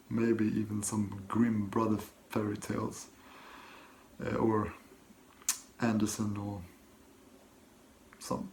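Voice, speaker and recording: A Swedish man with a Swedish accent, speaking English as his second language, who reads viewer questions aloud and answers them softly and hesitantly from his desk at home. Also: lowish voice, creaky, noisy background. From Sweden. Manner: soft-spoken, hesitant, many ums and restarts. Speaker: book channel host. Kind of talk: casual Q&A vlog, reading and answering questions.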